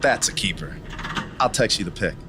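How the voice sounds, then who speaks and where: Lively, cocky rival wrestler male actor. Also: fairly low pitch, moderate pace, music in the background, lightly acted. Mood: breezy, smug. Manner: breezy, casual. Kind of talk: video game cutscene acting.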